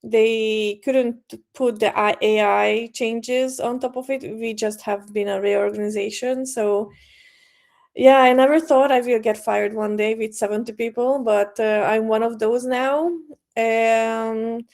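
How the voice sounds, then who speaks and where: A middle-aged woman who was born in Hungary and grew up in Brazil, giving an informal tech talk at a user group, halting and full of fillers and restarts. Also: fairly high pitch, fairly even intonation, creaky, darkish tone. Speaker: presenter at a user group meetup.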